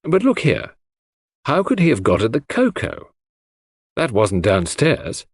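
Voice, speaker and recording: A man voicing a puzzled, sceptical English country gentleman with a frank, hearty, questioning manner. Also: medium pitch, wildly swooping pitch, measured pace, husky, dry recording, studio quality, exaggerated voice.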